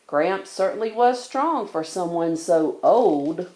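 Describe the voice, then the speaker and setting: A woman narrating a children's storybook in an expressive read-aloud style. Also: on the low side, slow, echoey room, slight background noise. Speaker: bedtime story reader.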